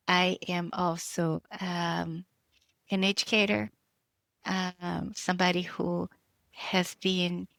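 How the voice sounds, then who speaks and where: A woman's voice: fairly low pitch, creaky, a bit bright, no echo. A middle-aged woman, an author and educator, talking casually with many fillers and restarts. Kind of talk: casual conversation.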